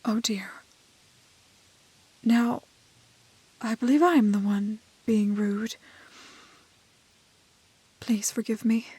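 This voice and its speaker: A woman playing a gentle, curious young village maiden in a romantic fantasy audio roleplay, with a soft, breathy voice and halting, old-fashioned polite phrasing. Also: fairly high pitch, fairly even intonation, no room sound, exaggerated voice. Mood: timid, polite.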